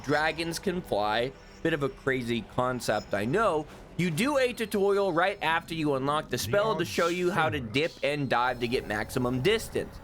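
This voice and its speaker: A man's voice: a little high, a bit bright, a little hiss or hum. A young man giving casual, offhand commentary over gameplay, joking and cursing freely. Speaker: gaming YouTuber.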